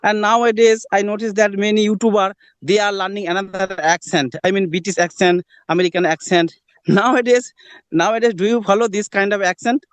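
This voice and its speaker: Polite Indian man, smooth dry voice. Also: high-pitched, steady tone, brisk, dry recording. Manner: hesitant, formal, says ma'am. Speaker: conversation partner. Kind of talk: casual practice conversation.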